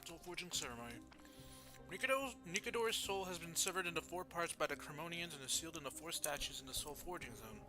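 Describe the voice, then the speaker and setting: A man with a fairly high-pitched voice streams a video game. He comments on what he is doing and reads the game's text aloud. Music is clearly audible behind him. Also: animated, fast talker.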